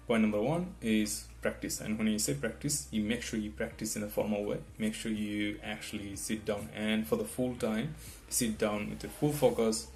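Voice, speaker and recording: Man, non-native english speaker, gravelly voice, casual rambling advice, guitar playing underneath. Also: on the low side. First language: not English. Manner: rambling, repeats "right?" and restarts often. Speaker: test-prep vlogger. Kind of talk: casual advice talk to camera. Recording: guitar music in the background.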